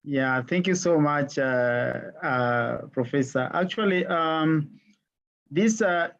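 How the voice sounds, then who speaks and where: Halting man on a video call. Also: fairly high pitch, fairly bright tone, dull audio. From Tanzania. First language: Swahili. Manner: halting, many fillers and restarts. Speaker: archaeologist. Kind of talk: webinar panel answer.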